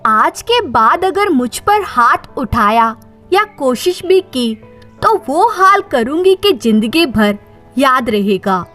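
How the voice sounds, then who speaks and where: Woman acting defiant young wife, high-pitched. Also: sing-song, a bit bright, soft background music, heavily acted. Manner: deliberate, cutting, emphatic. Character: wronged young wife turned bold and defiant.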